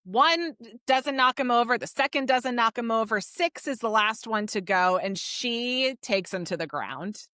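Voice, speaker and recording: A woman retells a story as a podcast co-host. Her voice is bright and forward, recorded dry. She is informal and chatty, leaning on key words as she goes. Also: fairly high pitch, animated, measured pace, few pauses, no room sound. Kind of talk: casual book discussion recapping a story.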